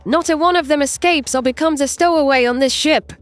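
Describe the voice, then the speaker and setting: A man voicing a stern female starship captain who barks forceful orders in a sci-fi audio story. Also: very high voice, brisk, faint music behind, voice pushed up. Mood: stern, commanding.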